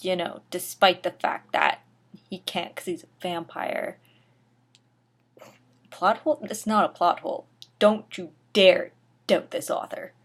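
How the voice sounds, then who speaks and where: A woman's voice: fairly low pitch, unhurried, long pauses. A woman giving a mock-dramatic story reading, theatrical in delivery, with sarcastic asides. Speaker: reader of a comedic dramatic reading.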